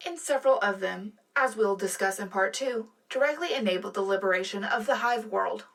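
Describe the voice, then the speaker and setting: A woman's voice: mid-range voice, a little fast, gravelly, a bit bright. A woman playing a polished, earnest co-anchor on a propaganda radio broadcast in a science fiction war drama, reading scripted news. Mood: poised, rousing. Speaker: radio show co-host.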